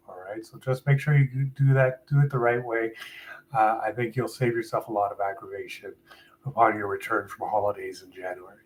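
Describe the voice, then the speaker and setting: A man's voice: mid-range voice, low-quality recording. Sniffly man answering live questions. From Canada. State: sniffly with a seasonal cold. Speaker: employment lawyer hosting a live Q&A. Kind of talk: live Q&A, explanatory legal advice.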